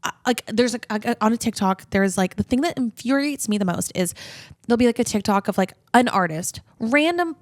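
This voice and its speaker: A woman's voice: on the high side, expressive, brisk. A woman co-hosting a podcast, chatting casually in an emphatic, opinionated and slangy way. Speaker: podcast host.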